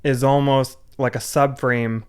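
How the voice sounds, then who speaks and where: A man's voice: mid-range voice, flat delivery, unhurried, clear, resonant tone. A man who is a podcast guest, chatting casually and mostly chiming in with short agreeing replies. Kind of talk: casual chat.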